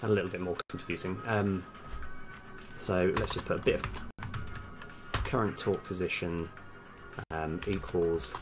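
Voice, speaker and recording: A man's voice: deep voice, fairly even intonation, measured pace, long pauses. A man live-streaming as a game developer, casually thinking aloud and muttering bits of code to himself in fragments. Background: background music, computer keyboard typing.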